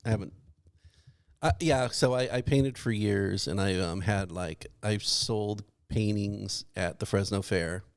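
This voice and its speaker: This is a man in relaxed podcast conversation. His voice sits on the low side with plenty of fry, recorded very dry. He rambles along and tends to be self-deprecating. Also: measured pace, no echo. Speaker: podcast cast member and guest painter. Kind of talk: casual podcast chat.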